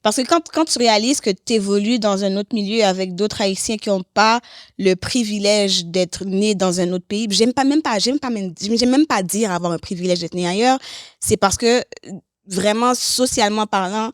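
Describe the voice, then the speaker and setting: Female podcast guest, medium-pitched, candid and expressive, occasional English phrases, dry studio sound. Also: normal speed, dry recording. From Haiti and Canada. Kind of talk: candid conversational interview.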